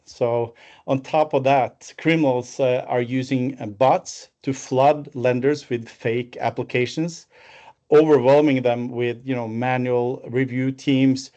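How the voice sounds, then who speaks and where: A man's voice: mid-range voice, fairly even intonation, a little slow, a little mellow. A man in his fifties from Norway with a Norwegian accent, presenting a business webinar from an office over a video call, with many fillers and restarts. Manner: fluent with frequent fillers and restarts. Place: office, alone. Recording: muffled lo-fi call audio.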